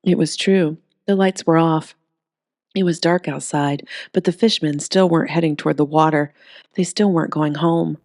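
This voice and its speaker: A woman reading a story aloud in an expressive, scripted narration style. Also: deep voice, normal speed, no room sound, studio quality.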